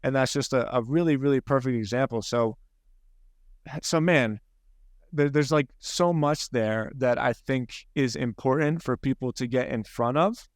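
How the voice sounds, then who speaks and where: A man's voice: medium pitch, unhurried, dry recording, studio quality. A man with a Philadelphia accent, hosting a podcast in casual, rambling conversation with frequent restarts. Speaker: podcast host.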